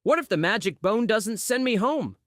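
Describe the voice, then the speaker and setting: A woman reading a children's audiobook aloud in an expressive storytelling style, acting out exclamations and sound words. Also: a little high, normal speed, dry recording, clean studio sound. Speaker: audiobook reader.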